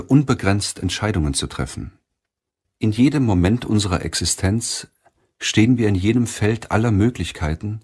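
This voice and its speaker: Low-voiced, husky male audiobook narrator. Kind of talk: scripted audiobook narration of a self-help book.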